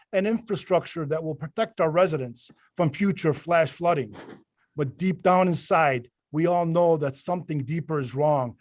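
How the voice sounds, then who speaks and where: A man's voice: a little high, no room sound. A man running for mayor, giving a rhetorical campaign speech in short, emphatic, repeated phrases. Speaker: mayoral candidate giving closing statement.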